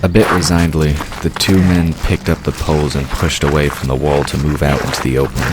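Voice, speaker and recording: Fantasy audio drama narrator: male, bass-deep voice, scripted storytelling, noticeable ambient noise underneath. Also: level tone, a little fast. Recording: clearly noisy background ambience. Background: outdoor ambience sound effects.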